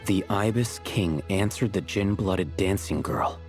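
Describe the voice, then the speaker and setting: A man playing a composed, learned scholar in a video game, speaking in a measured, matter-of-fact, lecturing way. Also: sits low, a little mellow, faint music behind. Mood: composed, aloof. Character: composed, learned male scholar, aloof and unhurried. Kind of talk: video game character dialogue.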